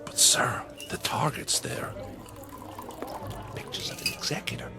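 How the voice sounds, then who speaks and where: An actor playing a timid, deferential employee, speaking in a hesitant, polite way in a radio drama. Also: male voice, unhurried, whispered, music in the background, close to an everyday voice. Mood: meek, hesitant. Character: timid, deferential male employee unsure how to have fun.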